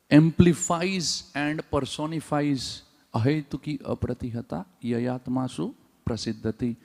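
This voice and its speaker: Man, devotional class, mid pitch, engaging, asks listeners questions, long hall reverb. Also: a little mellow. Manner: engaging, asks the audience "huh?" often. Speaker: speaker at a temple class. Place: temple hall.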